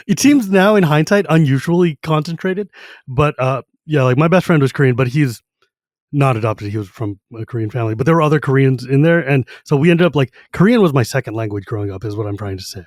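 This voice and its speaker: A man's voice: medium pitch, animated, brisk, darkish tone, no room sound, studio quality. A man in his thirties from California hosting a podcast, chatting casually in a rambling way with lots of filler words and easy laughter. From San Jose, California. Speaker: podcast host. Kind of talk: casual chat.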